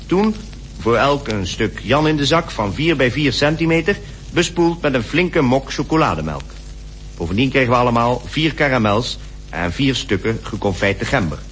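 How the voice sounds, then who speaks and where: A man's voice: mid-range voice, lively intonation, moderate pace, fairly bright tone, a little hiss or hum, close to an everyday voice. An actor playing a practical British polar expedition member, recounting his diary in a matter-of-fact, detailed way for a radio play. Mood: contented. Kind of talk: radio drama diary monologue.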